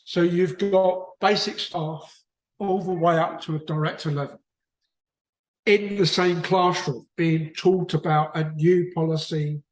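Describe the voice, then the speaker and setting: A man's voice: on the high side, steady tone, measured pace, echoey room, rough recording. A man from the UK with a British accent, chatting casually in a book club discussion, rambling and often restarting his sentences. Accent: British English.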